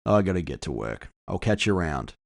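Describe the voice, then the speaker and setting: A man playing a frank, worldly male friend with a low-key, sardonic, matter-of-fact manner in cyberpunk audio fiction. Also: big pitch swings, fairly quick, no echo, studio quality. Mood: casual.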